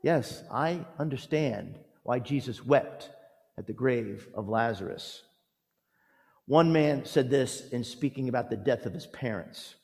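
A man preaching a storytelling sermon with a theatrical, emphatic delivery, using dramatic pauses and moving between loud proclamation and hushed lines. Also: medium pitch, expressive, gravelly. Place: large reverberant church or hall. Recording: echoey hall with long reverb.

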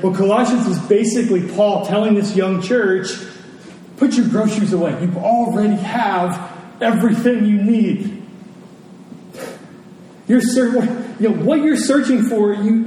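Male preacher, higher-pitched, mellow voice, emphatic repetition; sermon delivered in a big echoing hall. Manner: emphatic, repeats phrases for effect. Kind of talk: sermon to a congregation. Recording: very echoey hall, distant mic, faint noise.

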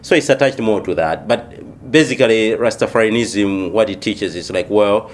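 A man from Malawi, a missionary church planter, answering interview questions in a thoughtful, unhurried way with many pauses. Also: on the low side, a little hiss or hum.

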